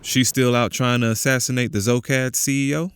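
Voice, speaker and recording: A man's voice: mid-range voice, steady tone, brisk, fairly bright tone, smooth, lightly acted. A man playing a bitter, sardonic conspiracy believer in an audio drama, talking in an accusing, emphatic way. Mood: probing, sardonic. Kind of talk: audio drama, naturalistic phone conversation.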